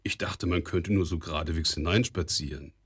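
A man's voice: low voice, fairly quick, fairly bright tone, slightly rough, no echo, clean studio sound, heavily acted. A man voicing an honest but crafty young farmhand who talks plainly and plays dumb. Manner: plain-spoken, feigning simplicity. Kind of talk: audiobook character voice.

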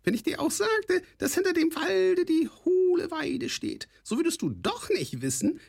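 A man's voice: very high voice, big pitch swings, moderate pace, vocal fry, pitched above the performer's own voice, exaggerated voice. A man voicing a sly, spiteful little male goblin with a squawking, nasal voice, mocking as he talks. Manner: mocking, gloating. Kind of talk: audiobook character voice.

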